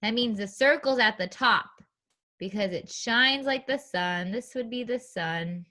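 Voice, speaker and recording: A woman leads a read-aloud lesson for small children. Her voice is fairly high and very bright, and she takes her time with each short phrase. She is encouraging and teacherly, with a sing-song way of guiding them. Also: unhurried. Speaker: preschool teacher reading a story aloud.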